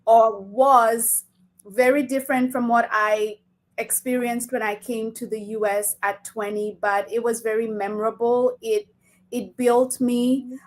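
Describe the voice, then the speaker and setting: Middle-aged Kenyan-accented woman, fairly high voice, conversational interview guest who explains with emphasis. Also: fairly even intonation, unhurried.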